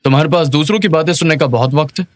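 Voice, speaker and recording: A man's voice: fairly high pitch, very fast, no room sound, close to an everyday voice. A man playing a curt, proud, dismissive company boss in a dubbed drama.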